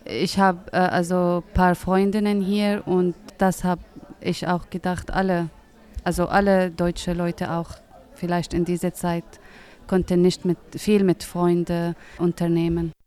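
A woman in her thirties from Syria, answering interview questions in simple, halting sentences with many pauses to find words. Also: fairly low pitch, little pitch movement, mellow. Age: 30s. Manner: hesitant, searching for words, simple grammar. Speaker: interviewee, English teacher.

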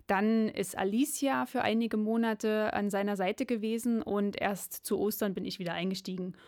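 A woman's voice: medium pitch, steady tone, normal speed, a little mellow. Female radio presenter, mid-range voice, hosting a studio show with interview segments. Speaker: radio host.

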